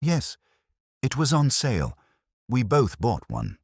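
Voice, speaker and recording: A man voicing a composed, genteel village woman in a mystery story, speaking with quiet, restrained dignity. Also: medium pitch, huge pitch swings, takes it slowly, vocal fry, breathy edge, no echo, clean studio sound, voice pushed up, heavily acted. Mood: guarded.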